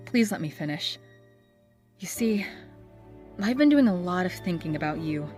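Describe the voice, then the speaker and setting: A woman's voice: on the low side, expressive, moderate pace, music in the background, natural voice. A woman playing a sincere, apologetic young love interest in a comic dub, earnest and dramatic. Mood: earnest, confessional.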